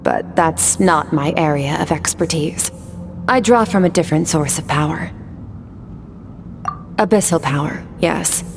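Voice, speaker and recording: A cool young swordswoman mentor from a video game. Her voice sits slightly low, with an aloof, matter-of-fact delivery. Music plays softly behind her detached instruction. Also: vocal fry, in a large hall, a little hiss or hum.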